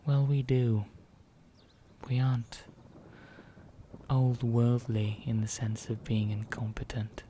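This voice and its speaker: A man playing an ancient, condescending vampire in an ASMR roleplay, speaking softly and deliberately, often slipping into a whisper, with calm menace. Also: medium pitch, slight background noise, natural voice. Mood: smug, condescending. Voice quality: soft, breathy, often dropping into whisper. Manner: slow, deliberate, pausing, formal.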